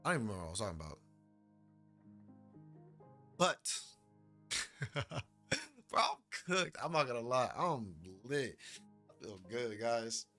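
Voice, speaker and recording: A man's voice: medium pitch, big pitch swings, a little slow, pauses often, pushed and bright, faint music behind. A young man giving a casual, slangy reaction commentary as a YouTuber, laughing often and rambling into asides.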